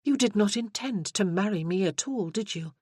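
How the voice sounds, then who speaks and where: A woman's voice: medium pitch, expressive, normal speed, darkish tone, breathy edge, no echo, studio quality, voice pushed up. A narrator voicing a poised young woman confronting a man who deceived her. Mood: accusing. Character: young heroine, poised and accusing.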